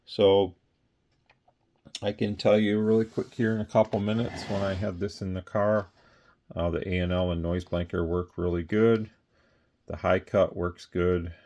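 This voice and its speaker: A man with a deep voice talks off the cuff, like a small seller showing an item. His delivery stays quite flat and plainspoken. He rambles a little, qualifying his points as he goes. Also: a little hiss or hum. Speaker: radio seller presenting a product. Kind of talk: casual off-the-cuff product talk.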